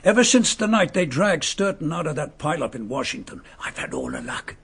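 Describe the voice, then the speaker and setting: A man's voice: fairly high pitch, wildly swooping pitch, fairly quick, a bit bright, slightly rough, heavily acted. An actor playing a bitter, mocking male murderer who posed as a lord, in a radio drama, speaking. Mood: sneering. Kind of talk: vintage radio drama acting.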